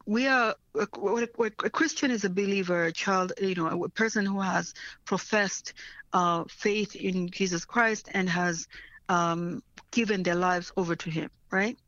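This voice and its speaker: A woman's voice: lowish voice, measured pace, fairly bright tone, no room sound, muffled recording. A woman from Africa, a nonprofit leader, answering interview questions earnestly and often checking in with "right?".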